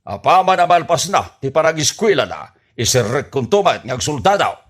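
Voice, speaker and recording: A man's voice: a little high, very animated, normal speed. A man playing a strict, blustery retired soldier father in an Ilocano radio comedy drama, with an Ilocano accent and a bossy, emphatic delivery. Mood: bossy, proud.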